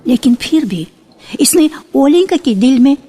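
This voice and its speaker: A woman narrating a radio drama from a script in a measured storytelling manner. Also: high voice, expressive, mellow. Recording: archival radio with faint music.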